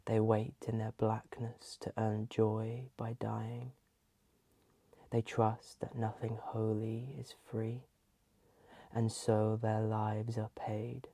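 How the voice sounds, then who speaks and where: A soft, breathy ASMR host reading in a hushed voice that often slips into a whisper. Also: mid-range voice, completely flat delivery, slow, no room sound.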